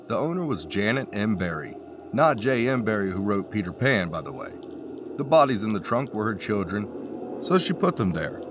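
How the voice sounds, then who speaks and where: A man reading a scripted countdown narration, dropping wry asides between the facts. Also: on the low side.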